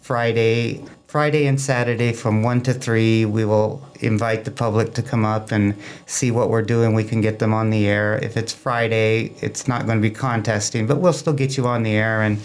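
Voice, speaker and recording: A man's voice: lowish voice, little pitch movement, normal speed, few pauses. A man speaking as a club guest in a casual interview, rambling and enthusiastic as he explains and tells anecdotes. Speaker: amateur radio club guest.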